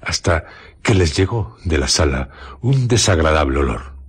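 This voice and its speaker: A man narrating a radio drama in a scripted, measured storytelling style. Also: very deep voice, sing-song, husky, heavily acted. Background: music.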